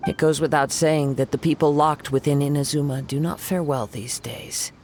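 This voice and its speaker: An actor playing a composed young samurai wanderer in a video game, speaking in measured, formal phrases. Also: male voice, a little high, fairly even intonation, very noisy, close to an everyday voice. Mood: calm.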